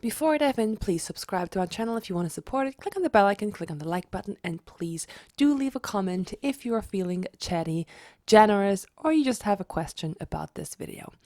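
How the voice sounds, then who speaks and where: A woman who is a little ill and sounds raspy, giving a chatty explanatory vlog with frequent restarts. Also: medium pitch, expressive, brisk, a little mellow, no room sound, clean studio sound. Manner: chatty, repeats herself with restarts. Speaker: manifestation vlogger.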